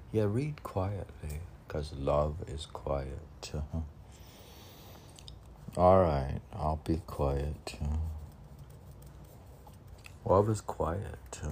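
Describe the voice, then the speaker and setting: A man reading his own poems and adding casual, rambling asides in a musing, halting manner. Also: very deep voice, a little mellow, slight background noise. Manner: musing, halting, talks back to own lines.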